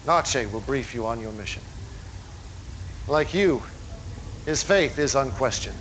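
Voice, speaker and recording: An actor playing a messianic, grandiose cult leader, speaking like a deliberate, theatrical preacher in a game cutscene monologue. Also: male voice, mid-range voice, expressive, a bit bright, slight background noise, low-quality recording. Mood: commanding, vengeful.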